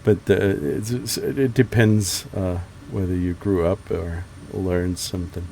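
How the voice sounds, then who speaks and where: A man's voice: low-pitched, fairly even intonation, measured pace, creaky, mellow, slight background noise. A German man chatting casually on headset while flying in a flight sim, hesitant with many restarts. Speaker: flight sim video host. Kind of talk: casual chat over radio while flying.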